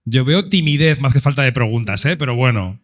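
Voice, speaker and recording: A man moderating a live panel, lively and joking as he prompts the audience. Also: medium pitch, fairly quick. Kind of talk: live event hosting.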